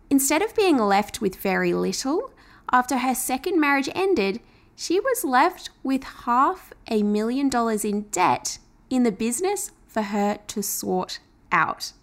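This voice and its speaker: A woman's voice: sits high, sing-song, unhurried. A woman hosting a podcast, talking in an enthusiastic, chatty way as she promotes her offer and welcomes a guest. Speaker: podcast host. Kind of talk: upbeat podcast intro and interview.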